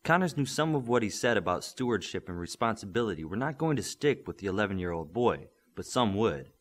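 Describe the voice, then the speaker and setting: A man's voice: on the low side, sing-song, fairly quick. A man giving a scripted narration of a novel as an audiobook reader.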